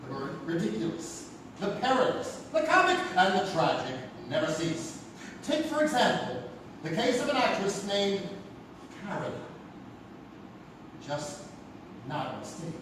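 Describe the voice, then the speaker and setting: A man's voice: high voice, big pitch swings, a little slow, long pauses. A man delivering grandiose, dramatic scripted narration for an anthology show on a theatre stage. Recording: distant, very echoey theatre with noise.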